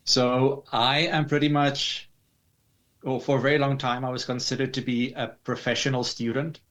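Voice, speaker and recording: A man with a South African accent answering interview questions in a measured, explanatory way with formal wording. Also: medium pitch, level tone, fairly bright tone. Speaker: interview guest, digital artist.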